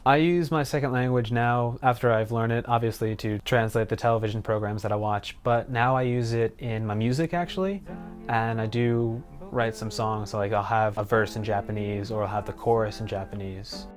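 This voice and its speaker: A man of about twenty, a student answering documentary interview questions in a relaxed, conversational way. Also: fairly low pitch, moderate pace, soft background music. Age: 20s. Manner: relaxed, conversational, with casual fillers.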